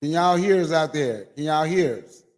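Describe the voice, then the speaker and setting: Guy on a livestream, kinda high voice, talking into his old phone, chill and chatty. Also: expressive, moderate pace. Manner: repeatedly checking audio with guests, loose and conversational. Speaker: livestream host. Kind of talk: casual livestream chat.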